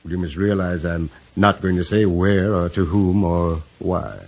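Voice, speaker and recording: An actor playing a smooth-mannered, menacing henchman who speaks formally and deliberately, in old radio drama style on a dull vintage recording. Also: low voice, steady tone, darkish tone. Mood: coolly threatening. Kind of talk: 1940s-50s American radio drama acting.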